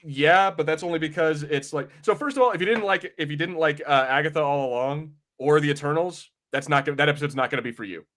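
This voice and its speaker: A man hosting a casual pop-culture podcast, chatting in an animated way and arguing his point with casual swearing. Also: fairly high pitch, fast talker, few pauses. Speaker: podcast host.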